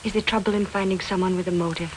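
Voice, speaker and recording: A woman playing a worried, earnest accused woman who recalls events in a hesitant, quiet way, in 1940s film style. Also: mid-range voice, fairly even intonation, fast, a little hiss or hum, natural voice. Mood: subdued.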